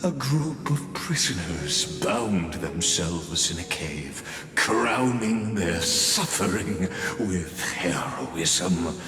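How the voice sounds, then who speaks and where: An actor playing a cold, theatrical mastermind orator in a video game, declaiming ceremoniously and ominously. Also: male voice, deep voice, animated, drawn-out words, heavily acted. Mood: grandiose, ominous. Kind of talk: dramatic video game voice acting. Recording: echoey hall reverb under music.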